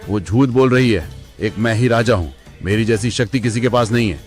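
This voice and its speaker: A man's voice: fairly low pitch, a little fast, soft background music, lightly acted. A man voicing a boastful, power-hungry wolf villain in a cartoon moral story, with a domineering manner. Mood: boastful, scornful. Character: boastful, power-hungry male wolf who claims to be king.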